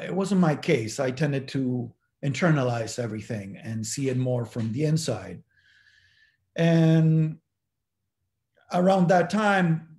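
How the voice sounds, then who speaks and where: Middle aged guy w argentine accent, spanish native, mid pitch, reflective, keeps searching for words. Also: a little mellow. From Buenos Aires, Argentina. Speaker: interview guest. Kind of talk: reflective personal recollection.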